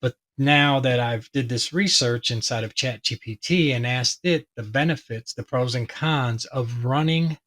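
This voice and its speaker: A man with a hoarse voice talking casually and ramblingly as he explains a tech topic to his viewers, with many fillers. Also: mid-range voice, unhurried, few pauses, fairly bright tone. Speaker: tech video host.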